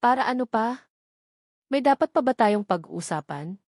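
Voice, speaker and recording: A woman's voice: a little high, expressive, moderate pace, darkish tone, dry recording, clean studio sound. A woman with a Filipino accent voicing a guarded, cool young bride-to-be in a romance story, questioning and reluctant. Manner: cold, questioning.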